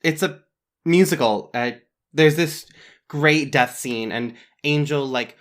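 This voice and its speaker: A man's voice: on the high side, takes it slowly. A man playing an overcommitted superhero playwright in an audio drama, passive-aggressive and breezily sarcastic. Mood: earnest, awkward. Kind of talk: naturalistic audio drama acting.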